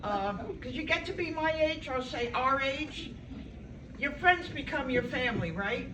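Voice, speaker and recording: A woman's voice: high-pitched, animated, a little slow. A woman, doing a chatty, self-deprecating stand-up set and bantering with the audience. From Brooklyn, New York. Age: 70s. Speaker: stand-up comedian. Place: senior center hall. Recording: echoey hall, from far off, loud crowd. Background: audience reactions and room noise.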